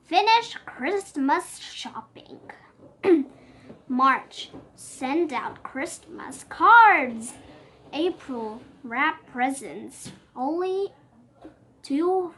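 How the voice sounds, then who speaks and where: A high, youthful voice reading a picture book aloud expressively, with playful exclamations and chatty asides. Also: lively intonation, drawn-out words, a bit bright, slight background noise. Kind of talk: animated read-aloud storytelling with chatty asides.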